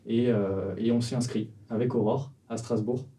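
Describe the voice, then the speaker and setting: Man, 20s, startup cofounder guest; hesitant, filler-heavy delivery, very dark timbre, recorded from a distance. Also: medium pitch, steady tone, normal speed. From France, Vendée. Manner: hesitant, many fillers and restarts. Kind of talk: casual interview answers.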